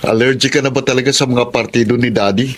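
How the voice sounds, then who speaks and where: An actor with a Filipino accent playing an easygoing, reasoning young adult son in a radio drama. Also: male voice, mid-range voice, steady tone, quick pace, slight background noise, lightly acted. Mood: calm, teasing.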